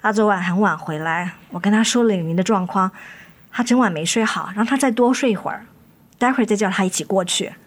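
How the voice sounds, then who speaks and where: A woman's voice: medium pitch, fast, lightly acted. A woman acting a weary, resolute wife and mother in a radio drama, firm and reproachful with her husband. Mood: tired. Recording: studio radio drama with music underneath.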